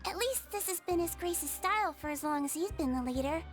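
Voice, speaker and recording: A woman voicing a small, gentle, childlike caretaker girl in a video game, sweet and polite in manner. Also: extremely high pitch, expressive, fairly quick. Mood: gentle, caring. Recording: game music behind the voice.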